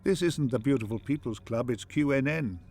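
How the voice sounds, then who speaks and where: A man playing an ancient, wistful ascended machine mind, speaking in a grandiose, measured and philosophical way. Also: medium pitch, darkish tone, natural voice. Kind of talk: theatrical scripted game voice acting.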